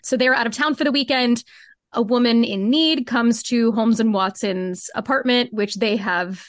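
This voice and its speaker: A woman's voice: fairly high pitch, normal speed, no echo, clean studio sound. A woman talking casually as an interview guest, wry and self-deprecating. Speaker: podcast guest, fiction podcast co-creator.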